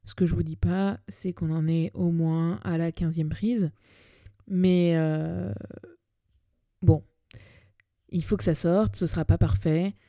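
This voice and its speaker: Female podcast host, 30, Parisian accent. Lowish, very mellow voice; chatty, colloquial, self-deprecating solo delivery. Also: fairly even intonation, slow, pauses often, no echo, studio quality. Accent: Parisian French. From Paris, France. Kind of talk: personal monologue podcast, semi-scripted narration. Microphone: close podcast microphone.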